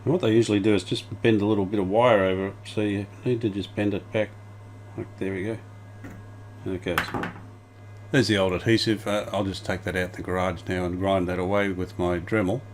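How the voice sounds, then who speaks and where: Male repair vlogger, low pitch, casual and unscripted, plain matter-of-fact delivery. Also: flat delivery, fairly quick, noisy background. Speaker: restorer presenting a hands-on vintage radio repair. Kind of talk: casual workbench commentary.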